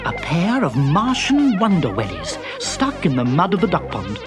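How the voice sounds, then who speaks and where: A man reading a children's story aloud with lively, expressive narration. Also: fairly high pitch, normal speed. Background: background music.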